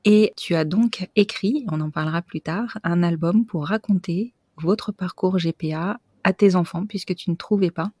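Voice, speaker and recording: A woman's voice: lowish voice, moderate pace, few pauses, warm tone, husky, dry recording. Woman, podcast host, chatty, laughs easily, dry close sound. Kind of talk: conversational interview.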